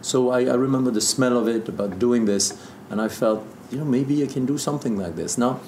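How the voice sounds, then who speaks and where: A man's voice: medium pitch, brisk, darkish tone. A man in his fifties from France, speaking English with a French accent in casual interview storytelling. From southern Burgundy, France. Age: 50s. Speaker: interview guest, distiller. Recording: echoey room with background noise.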